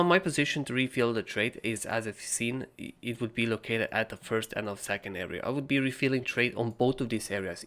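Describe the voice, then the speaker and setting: A young man talks through trading charts with a raspy voice, worn by a recent illness. His tone is casual and conversational, and his speech is dotted with fillers and checks of "right?". His pitch sits in the middle range for a man. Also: fairly bright tone. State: sick with a cold. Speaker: crypto trading analyst. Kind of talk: chart analysis commentary.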